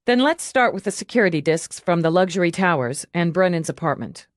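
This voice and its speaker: A woman plays a hard-driven female police lieutenant in a crime audiobook. She is blunt and sardonic and hands out orders curtly. The voice is fairly low and recorded dry. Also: expressive, a little fast, no echo, close to an everyday voice. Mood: brisk, commanding. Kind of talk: audiobook character dialogue.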